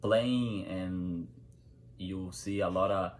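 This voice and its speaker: A man's voice: low voice, fairly even intonation, slow pace. A young man with a Brazilian accent, a native Portuguese speaker, giving an informal informative speech in simple non-native English phrasing. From Brazil, São Paulo state. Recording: clear background noise.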